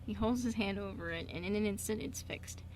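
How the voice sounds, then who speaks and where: A woman reading scripted narration for an amateur radio play, stumbling now and then in a halting read. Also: mid-range voice, slight background noise, low-quality recording.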